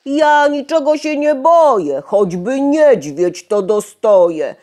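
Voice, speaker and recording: A woman's voice: sits high, big pitch swings, slow pace, mellow, voice pushed up, exaggerated voice. A woman voicing a boastful, cocky little boy in an exclamatory storybook style. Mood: cocky bragging. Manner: bragging, exclamatory.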